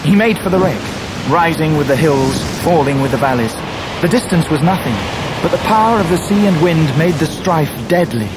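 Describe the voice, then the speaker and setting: An actor playing an earnest grown gentleman who narrates his own story in a radio play, with an English accent and measured, vivid delivery. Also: fairly high pitch, lively intonation, natural voice. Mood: vivid, urgent. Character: grown David Copperfield, earnest grieving male narrator. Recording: noisy surf and wind behind voice.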